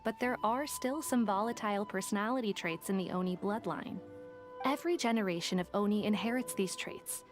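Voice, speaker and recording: A woman's voice: mid-range voice, lively intonation, brisk, faint music behind, lightly acted. A woman voicing a shrewd, self-assured detective for hire in a video game, articulate and businesslike. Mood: matter-of-fact. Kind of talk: English video game voice acting, explanatory dialogue.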